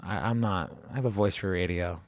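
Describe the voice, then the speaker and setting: A man hosting a casual podcast interview, talking in a relaxed, rambling way with plenty of fillers. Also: sits low, fairly quick, dry recording, clean studio sound. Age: adult collector who grew up with the show. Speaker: podcast host.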